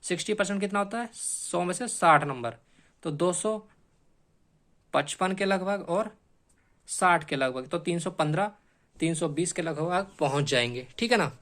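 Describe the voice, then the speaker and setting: A man's voice: high-pitched, lively intonation, normal speed, a bit bright. A man explaining exam strategy like a coaching teacher, repeating key points emphatically and often checking in with short tag questions.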